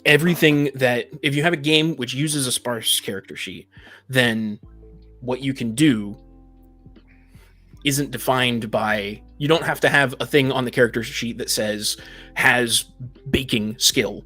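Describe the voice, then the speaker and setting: A man in a casual discussion, explaining his view of tabletop roleplaying haltingly and often rephrasing. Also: mid-range voice, pushed and bright. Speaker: game master and discussion panelist. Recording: faint music in the background. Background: faint music.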